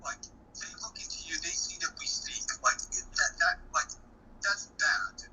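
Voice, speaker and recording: A noisy recorded phone call with a low-voiced woman. Her tone is very sharp and tense, and she speaks urgently, repeating herself as she pleads. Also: wildly swooping pitch, moderate pace. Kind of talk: private phone conversation.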